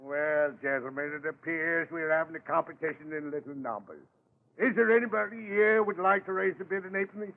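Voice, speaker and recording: A man's voice: fairly high pitch, a little fast, gravelly. An actor playing a pompous, wheedling auctioneer in an old-time radio horror drama, with theatrical salesman patter. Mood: exasperated, coaxing. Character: wheedling, pompous English auctioneer hiding sinister knowledge. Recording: muffled, narrow-band vintage radio recording.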